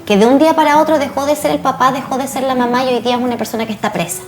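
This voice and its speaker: Higher-voiced Chilean woman hosting an interview. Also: fairly even intonation, rapid-fire. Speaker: TV interview host. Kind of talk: conversational studio interview.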